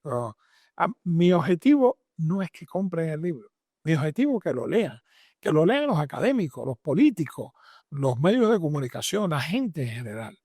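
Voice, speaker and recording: A man's voice: on the high side, sing-song, moderate pace, a little mellow, husky, no room sound, clean studio sound. An older man with a Cuban accent answering interview questions emphatically, repeating phrases as he builds lists. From Matanzas province, Cuba. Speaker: interviewed doctor and author.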